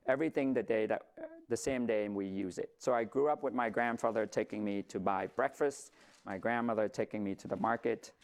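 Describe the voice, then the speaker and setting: A middle-aged man from Vietnam, a company founder, giving a conversational talk with light humor and occasional fillers. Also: lowish voice. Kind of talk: presentation to an audience.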